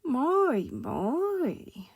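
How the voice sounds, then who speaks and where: A gentle old-fashioned storybook tramp, as a woman reader puts him on, kindly and soothing. He speaks in a soft, breathy murmur, taking his time over each phrase. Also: medium pitch, sing-song, takes it slowly, dry recording, clean studio sound, heavily acted. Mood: kindly, amused.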